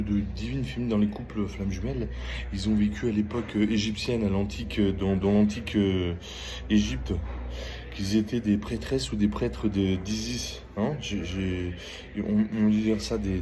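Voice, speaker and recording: A middle-aged man chatting casually as he gives a tarot reading, halting and repeating phrases, often checking in with the listener. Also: on the low side, steady tone, mellow. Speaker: tarot reader. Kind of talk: casual spoken tarot reading with personal chat. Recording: clearly noisy ambient background.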